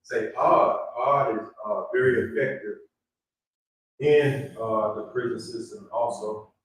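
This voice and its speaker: Male moderator, fairly deep, measured and halting, off-mic at a live event with faint room noise. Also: fairly even intonation. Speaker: panel moderator, community advocate. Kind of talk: unscripted public event remarks. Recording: echoey room, distant mic, background noise.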